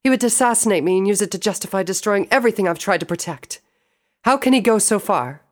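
A man's voice: high voice, expressive, fast talker, pitched above the performer's own voice. A man voicing a regal, earnest pony princess in a storybook, formal and intense in manner. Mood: outraged.

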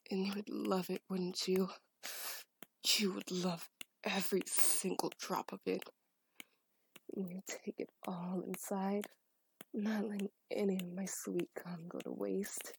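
Woman doing breathy whispery roleplay, bossy and teasing, slow and kinda gravelly. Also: mid-range voice, little pitch movement, slight background noise, poor audio. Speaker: dominant partner in a scripted audio scene. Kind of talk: adult audio roleplay.

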